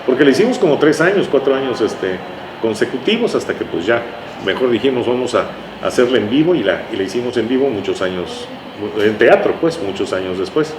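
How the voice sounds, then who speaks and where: A Mexican man talks as a guest in a relaxed-format interview, recounting anecdotes at length with little tag questions along the way. His voice sits at an average pitch. The room is echoey, with a noticeable noisy hum behind him. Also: a little fast. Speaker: interview guest, writer recalling his radio work. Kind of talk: conversational reminiscing.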